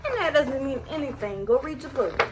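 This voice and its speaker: A woman's voice: sits high, expressive, normal speed, noisy background. A woman reading a picture book aloud to her toddler, with casual asides to the child as she goes.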